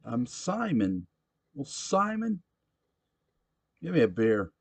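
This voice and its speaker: A man voicing a gruff, pushy drunk middle-aged man at a bar in a read-aloud story. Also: mid-range voice, very animated, slow pace, pitched above the performer's own voice, exaggerated voice. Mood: belligerent.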